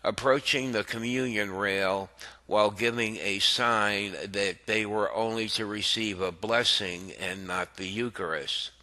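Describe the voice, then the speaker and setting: A man reading an article aloud as the host of a religious commentary channel, in a deliberate manner. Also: fairly low pitch, fairly even intonation, bright, forceful tone.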